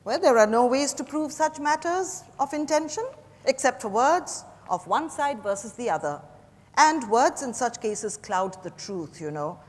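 A woman's voice: a little high, lively intonation, measured pace. A woman acting a proud, defiant businesswoman suspect in a theatrical dramatized stage reading. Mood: cool, evasive. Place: large hotel ballroom stage. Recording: echoey hall, picked up from the audience.

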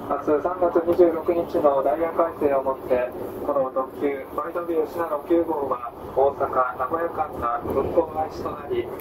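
A man's voice: fairly high pitch, fairly even intonation, moderate pace. A man working as a train conductor, making polite, formal onboard announcements. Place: inside a moving train carriage, over speakers. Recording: noisy distant train PA, poor audio. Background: loud train and ventilation noise.

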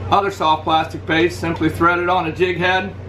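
A man's voice: on the high side, moderate pace, a little hiss or hum. A middle-aged man in his living room, talking casually to a webcam as he holds up and reviews his favorite fishing lures. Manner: relaxed, practical, shows lures to camera. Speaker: fishing channel host. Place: indoors, living room at home.

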